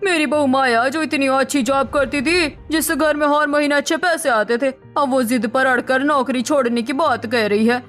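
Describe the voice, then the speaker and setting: High-voiced, fretful mother-in-law played by a woman. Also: normal speed, few pauses, a bit bright, faint music behind. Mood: distressed. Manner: fretful, lamenting. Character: worried, money-minded middle-aged mother-in-law. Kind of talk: Hindi cartoon family melodrama acting.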